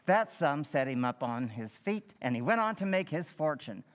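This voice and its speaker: An actor playing a formal, deliberate town official addressing a public meeting in a measured, declamatory old-time radio style. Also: male voice, fairly high pitch, big pitch swings. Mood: formal, suspenseful. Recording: echoey hall, slightly dull sound.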